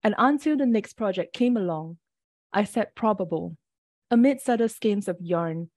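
Woman poet, warm dry close voice, measured unhurried reading, a bit early-morning rough. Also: medium pitch, lively intonation, no echo. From Singapore. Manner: measured, deliberate reading with brief asides. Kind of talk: poetry reading.

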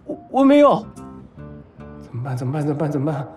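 Flustered lovesick young man in audio drama, high voice, really animated, stammery and whiny, bit of music behind. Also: quick pace. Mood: panicked. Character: flustered, smitten, excitable young male patient.

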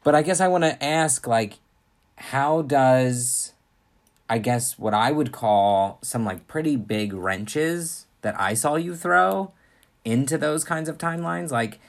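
Co-host, mid-pitched voice, halting questions packed with fillers. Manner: hesitant, lots of fillers. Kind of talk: casual conversational questions.

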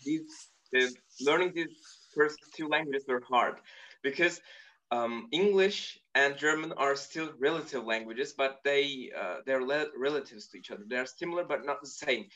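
Man, bright voice, thoughtful, lots of fillers, dull lo-fi call sound. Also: mid-range voice, normal speed. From Turkey. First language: Turkish. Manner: thoughtful, explains at length with many fillers. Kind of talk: casual video call chat.

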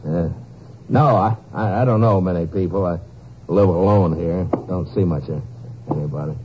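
A man's voice: low voice, normal speed, lightly acted. A man playing an evasive middle-aged crime suspect, hesitant and stammering, in a 1950s radio drama. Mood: nervous, evasive. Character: evasive, nervous middle-aged soft-faced suspected hijacker. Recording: muffled, hissy old radio recording.

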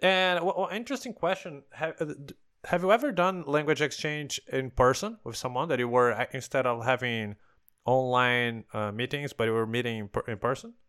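A man's voice: mid-range voice, brisk, fairly bright tone, smooth. A man hosting a casual interview in non-native English, explaining his points and often restarting words. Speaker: podcast host.

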